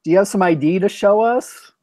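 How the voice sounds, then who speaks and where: A man's voice: high-pitched, fairly quick, dark tone, dry recording. Casting: American male host, conversational and informal, dry close recording, video-call language chat. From United States. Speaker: host of an English practice chat. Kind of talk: casual chat.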